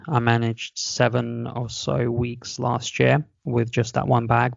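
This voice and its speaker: A man's voice: mid-range voice, vocal fry, a little mellow, dry recording. A man from Russia, a podcast guest and travel blogger, chatting enthusiastically over a video call and explaining things at length. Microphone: video call microphone. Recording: dull, lo-fi call audio.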